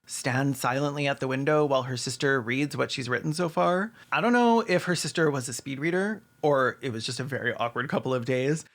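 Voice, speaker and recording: A man's voice: medium pitch, a little fast, hardly any pauses, fairly bright tone. A man delivering scripted video commentary in a sardonic, casually sweary way. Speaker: video essayist host.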